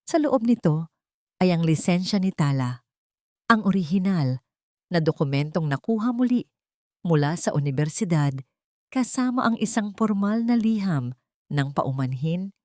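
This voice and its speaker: A woman's voice: on the low side, lively intonation, moderate pace, pauses often, warm tone, no echo, clean studio sound. A woman with a Filipino accent narrating a dramatic audiobook story from a script.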